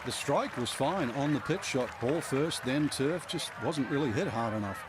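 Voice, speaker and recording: A man's voice: mid-range voice, expressive, moderate pace, crowd noise, noisy background, close to an everyday voice. A man playing a knowledgeable golf analyst, explaining shots conversationally in video game broadcast commentary. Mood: explanatory.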